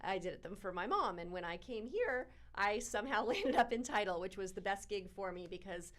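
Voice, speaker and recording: A middle-aged woman, a title company representative, giving clear and upbeat answers in an interview. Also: mid-range voice, lively intonation, moderate pace. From born in South Africa, raised in Toronto and Arizona.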